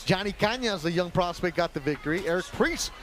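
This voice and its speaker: A man calling a boxing match play-by-play in a brisk, promotional broadcast manner. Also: high voice, expressive. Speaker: play-by-play commentator.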